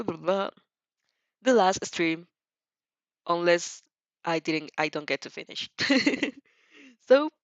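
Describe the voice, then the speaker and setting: A woman's voice: lowish voice, dry recording. A woman streamer talking informally and reading fan fiction aloud with expression. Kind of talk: informal livestream chat and expressive story reading.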